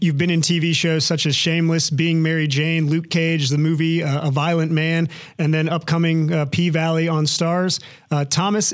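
Man interviewing in a slightly high voice. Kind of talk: interview questions.

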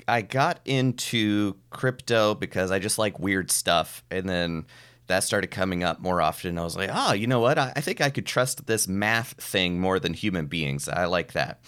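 A man with a clean, smooth and fairly low voice, talking casually as a podcast guest. He tells stories conversationally, slipping into quoted remarks as he goes. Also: fairly bright tone. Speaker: podcast guest, professional mentalist.

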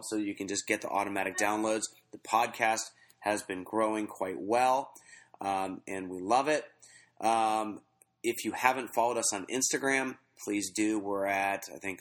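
A man hosting a soccer podcast in a relaxed, chatty way, reading out fantasy stats with fillers, a bit stuffy and sniffly from a cold. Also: lowish voice. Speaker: podcast host.

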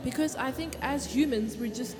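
A woman's voice: sits high, moderate pace. A nineteen-year-old woman from the Congo, a poet, talking openly and earnestly in a casual interview and laughing often. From Democratic Republic of the Congo. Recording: music playing behind.

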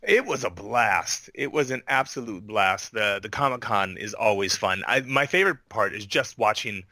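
Enthusiastic guest with a bright voice. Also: male voice, lowish voice, normal speed, no echo. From Indiana-born, raised in Honolulu. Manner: enthusiastic, says "man" and "freaking". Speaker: guest, actor. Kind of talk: casual interview chat.

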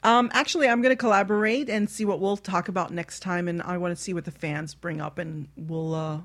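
A woman's voice: lowish voice, steady tone, a little fast. A woman hosting a casual radio chat show, talking in a bawdy, joking way.

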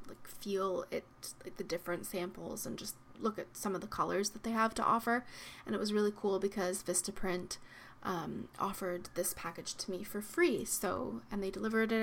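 A young woman hosting her own podcast, talking in a soft, reflective way with frequent ums and likes, her voice slightly worn. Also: medium pitch, slight background noise. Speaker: podcast host. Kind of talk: solo podcast monologue. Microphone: podcast microphone.

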